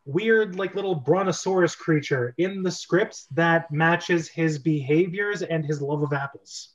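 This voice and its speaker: A man's voice: fairly high pitch, measured pace. A man hosting a script reading club, chatting casually with the group. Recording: dull, lo-fi online recording.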